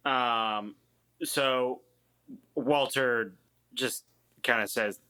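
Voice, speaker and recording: A man in casual tabletop roleplay, speaking in a halting, measured way. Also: mid-range voice, fairly even intonation, bright, forceful tone, clear, resonant tone, poor audio. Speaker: tabletop game player.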